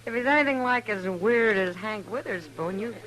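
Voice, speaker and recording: A woman's voice: mid-range voice, animated, moderate pace, a bit bright, close to an everyday voice, rough recording. An actress playing an easygoing, wry saloon woman with an American accent in a 1950s Western radio drama. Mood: wry, friendly. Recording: old radio drama with music.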